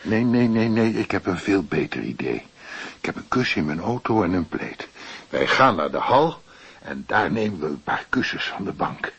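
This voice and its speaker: A low, lively male voice as a fatherly night porter in a radio drama. He coaxes and fusses with concern, swinging widely in pitch, on a slightly muffled recording. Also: normal speed, breathy edge, heavily acted. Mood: coaxing, reassuring. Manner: coaxing, solicitous. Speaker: actor in a radio play.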